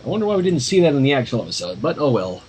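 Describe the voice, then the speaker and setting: A man reading a story aloud on a livestream with expressive, dramatic narration and casual asides, in a husky voice. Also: mid-range voice, racing, slight background noise. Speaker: fan fiction reader.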